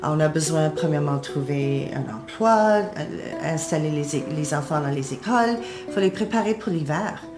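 A woman's voice: low-pitched, animated, normal speed, few pauses, roomy sound. A woman, formerly director of a music nonprofit, telling her story earnestly in a radio interview and explaining things step by step.

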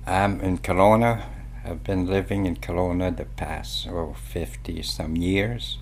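Quebec-born man in his seventies, French native, very low voice, loose rambling telling. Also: fairly even intonation, unhurried, slight background noise. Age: 70s. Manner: rambling, laughs between phrases. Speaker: interviewee. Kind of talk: casual storytelling.

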